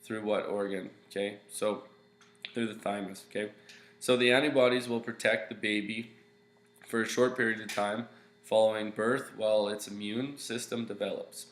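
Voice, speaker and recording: A man's voice: lowish voice, fairly even intonation, moderate pace, a bit bright. A young man with a congested, nasal voice, giving a recorded slideshow lecture as a teacher and talking loosely with lots of fillers and "okay"s. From Canada. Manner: loose, explaining with many fillers and okays. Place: small room at home, indoors. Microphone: webcam. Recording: echoey room with faint hum.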